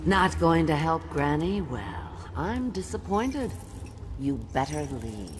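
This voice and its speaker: A woman's voice: sits low, very animated, slow pace, music in the background, heavily acted. A woman playing a mad old witch in a game, crooning with grandmotherly menace. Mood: cold, disappointed.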